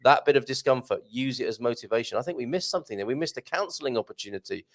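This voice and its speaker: A man's voice: medium pitch, rapid-fire. A man hosting a live chat show, joking around, laughing often and reading out viewer comments. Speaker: host.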